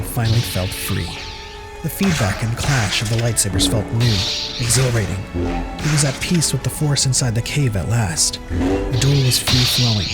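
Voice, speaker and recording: A man reads scripted dramatic narration as the storyteller of an audio drama. Prominent music plays behind his voice. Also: mid-range voice.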